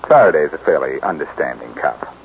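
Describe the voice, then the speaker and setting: A suave amateur sleuth in a 1940s radio drama. His low voice swings freely as he tosses out wry, teasing lines. The old recording is muffled and lightly hissy. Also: lively intonation, brisk. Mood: smooth, amused. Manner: suave, wisecracking. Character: wry, self-assured male amateur sleuth with a teasing streak.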